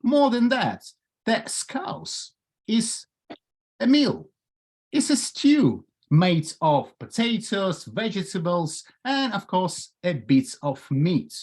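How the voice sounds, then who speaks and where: A middle-aged man with a Ukrainian accent giving a humorous prepared speech over a video call, telling jokes with pauses. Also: high voice. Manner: jokey, lively storytelling with pauses. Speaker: club speaker.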